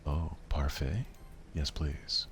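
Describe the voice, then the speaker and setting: A soft-spoken man doing ASMR let's play commentary on a game, murmuring to himself without hurry over some audible background noise. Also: extremely low pitch, level tone, slow pace, vocal fry, faint music behind. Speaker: gaming streamer playing a visual novel.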